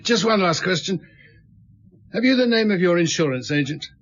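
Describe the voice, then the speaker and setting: A police inspector in a radio play, patient and persistent. His voice sits slightly high, and his questions are measured and courteous. The audio is a little dull. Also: expressive, fairly bright tone. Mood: measured, probing. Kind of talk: radio drama acting.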